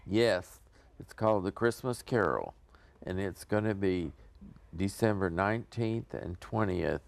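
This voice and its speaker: An older American man speaking casually as an interview guest, in an earnest, halting, rambling way. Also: deep voice, expressive, gravelly. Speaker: interview guest promoting a community theater show.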